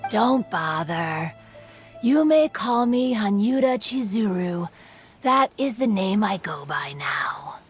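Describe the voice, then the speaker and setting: A woman's voice: mid-range voice, very animated, slightly rough, soft background music, heavily acted. A woman playing a mysterious, guarded and menacing female spirit in human form in a video game. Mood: cold, resigned. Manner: slow, guarded, dramatic.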